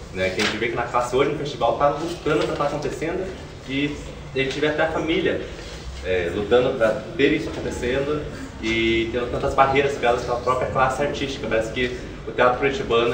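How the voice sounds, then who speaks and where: A young Brazilian man from a theatre company, speaking emphatically and earnestly in an interview. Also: medium pitch. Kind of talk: explanatory interview talk. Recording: roomy, distant mic, noisy background.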